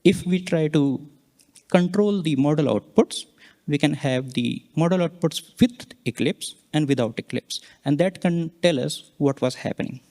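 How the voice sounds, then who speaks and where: A man giving a scientific conference presentation, explaining slides and data in halting, explanatory phrases. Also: on the high side, a little mellow. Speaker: researcher presenting a conference talk.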